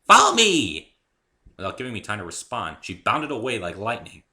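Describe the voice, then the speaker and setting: Expressive man narrating, very bright forceful voice. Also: on the low side, quick pace. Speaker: amateur narrator reading own story. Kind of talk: dramatic first-person story narration.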